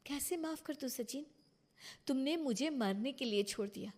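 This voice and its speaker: A woman's voice: sits high, normal speed, a little mellow, voice pushed up. A woman voicing a wronged, defiant young wife and mother in a cartoon moral story, indignant and emphatic. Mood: hurt, reproachful.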